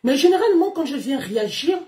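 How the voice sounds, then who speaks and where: A woman's voice: high-pitched, big pitch swings, quick pace, a little mellow. A woman, speaking to her followers in an emphatic, declamatory livestream monologue. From Gabon. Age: 30s. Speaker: live streamer.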